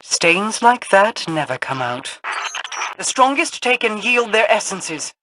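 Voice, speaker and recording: An actress playing a solemn, imperious queen in a sci-fi video game, speaking in a formal, measured way. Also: mid-range voice, big pitch swings, noisy background. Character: regal, solemn, commanding queen of a space realm.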